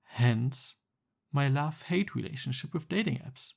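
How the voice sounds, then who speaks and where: A man's voice: medium pitch, lively intonation, unhurried, vocal fry. A man playing a jealous, exasperated young Cupid best friend in a conversational boyfriend roleplay ASMR. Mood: explaining, wry.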